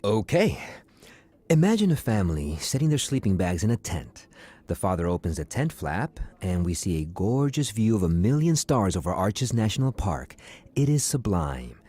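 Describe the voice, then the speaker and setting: An actor playing an effusive, amorous copywriter with a florid, theatrical manner in an audio drama. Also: male voice, deep voice, warm tone, slightly rough, exaggerated voice. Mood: grandly romantic. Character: gushing, amorous Brazilian male ad copywriter.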